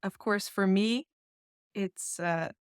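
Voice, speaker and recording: A woman from New York talks as an interview guest in a gentle, diplomatic way, laughing easily between thoughts. Her voice sits at a middling pitch and is recorded dry and close, with no room echo. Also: slow pace, clear, resonant tone. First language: English. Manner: soft, diplomatic, laughs often. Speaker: festival founder, guest. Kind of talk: casual interview chat.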